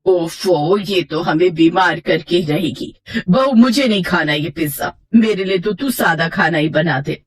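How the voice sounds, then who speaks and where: A woman's voice: fairly low pitch, a little slow, breathy edge, voice pushed down. A woman voicing a stern, sarcastic middle-aged mother-in-law who scolds in exasperation in a moral story. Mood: irritated. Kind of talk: acted moral story for children.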